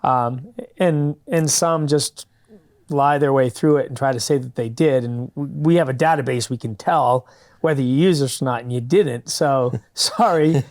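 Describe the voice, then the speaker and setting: Man, mid-pitch, earnest studio interview guest; steady explainer who restarts phrases often. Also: normal speed. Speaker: guest, fundraising company founder. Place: public TV studio.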